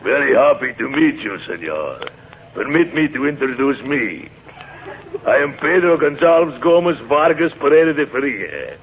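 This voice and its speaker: A man playing an excessively polite, formal gentleman with a Spanish accent in 1940s radio comedy. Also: mid-range voice, big pitch swings, normal speed. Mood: courtly, polite. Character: polite, flowery Spanish-speaking man in a crowd. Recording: tinny, faintly noisy 1940s radio recording.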